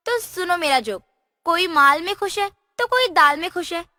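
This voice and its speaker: A woman's voice: very high-pitched, animated, moderate pace, pushed and bright, no echo, studio quality, exaggerated voice. A woman voicing a cheeky, playful fairy girl in a cartoon story. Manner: teasing, chirpy, mischievous. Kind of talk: cartoon voice acting.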